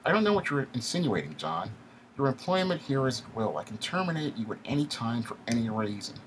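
A man's voice: medium pitch, big pitch swings, brisk, a little hiss or hum, pitched above the performer's own voice, close to an everyday voice, poor audio. A man putting on a smug elitist boss. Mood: cold dismissive. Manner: cold, dismissive, falsely polite. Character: smug elitist male company executive.

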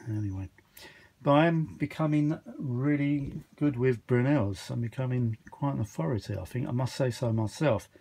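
A tired man with a husky voice, chatting casually and a little exasperatedly in a rambling hobby repair vlog, recorded indoors on a handheld camera. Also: mid-range voice, a little mellow. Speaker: vintage audio hobbyist vlogger. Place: indoors, small home workshop. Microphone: handheld phone or camera behind the lens.

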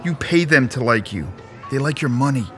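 Man playing a sneering, sharp-voiced tormentor. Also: medium pitch, expressive, moderate pace, faint music behind. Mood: scornful. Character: mocking, scornful male tormentor babysitting a spoiled young man. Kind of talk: audio drama acting.

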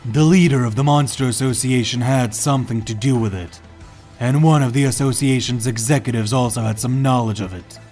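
A man's voice: mid-range voice, brisk, a little mellow, faint music behind, close to an everyday voice. Man playing a blunt, stern alien warrior. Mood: serious. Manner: blunt, commanding. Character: stern alien warrior, confident and impatient male. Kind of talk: fan audio drama acting.

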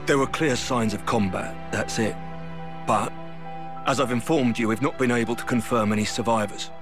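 An actor playing a matter-of-fact scout in a video game, reporting in a factual, businesslike manner. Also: male voice, mid-range voice, normal speed, over music, lightly acted. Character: matter-of-fact male search party scout reporting back. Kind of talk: video game cutscene acting.

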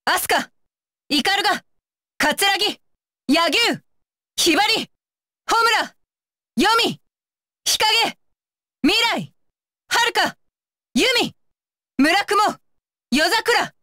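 A woman's voice: extremely high pitch, expressive, slow pace, pauses often, pushed and bright, no room sound, heavily acted. A woman voicing a stern, dutiful young female ninja bodyguard for an anime game, with clipped, commanding and blunt phrasing. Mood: announcing, raised. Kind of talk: Japanese anime game voice lines.

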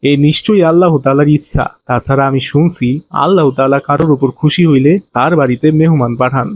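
A man's voice: on the high side, fast, close to an everyday voice. A man playing a poor, devout village husband in a cartoon, speaking earnest, pleading colloquial dialect with a Bangladeshi accent. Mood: reassuring, devout. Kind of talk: animated moral story dialogue.

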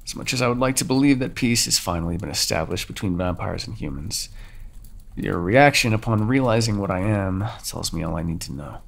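A man voicing a brooding ancient male vampire who holds a human captive, speaking in a soft, measured, confiding way for an ASMR audio roleplay. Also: on the low side, animated, vocal fry. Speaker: voice actor.

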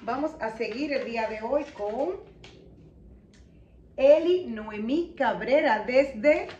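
A woman with a Dominican accent and a fairly high voice hosts a casual home vlog. She is recorded at some distance from the camera microphone, and the living room echoes clearly around her. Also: expressive, slow, a little mellow, lo-fi sound, a little hiss or hum. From Dominican Republic. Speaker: vlog host. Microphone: camera a few meters away.

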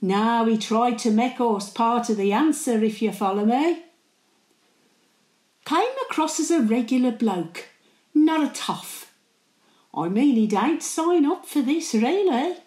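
An older woman playing a chatty, cheeky, warm-hearted working-class Birmingham great-grandmother, gossiping and rambling through a monologue. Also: on the high side, expressive, slow pace, echoey room. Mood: confiding. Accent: Birmingham (Brummie). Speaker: actor performing a dramatic monologue.

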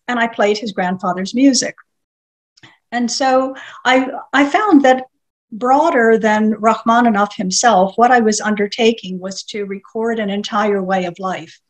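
Older woman, Russian native speaker, unhurried lecturer with many pauses, speaking over a webcam. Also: medium pitch, low-quality recording. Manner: measured, with frequent filler pauses. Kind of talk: online lecture. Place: home study with bookshelves. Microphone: webcam video call.

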